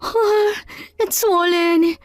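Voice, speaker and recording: A woman voicing a pained, helpless young woman in an audio drama, with a high, strained voice and whimpering exclamations. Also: fairly even intonation, extremely slow, no room sound, clean studio sound, soft background music, exaggerated voice. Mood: tearful.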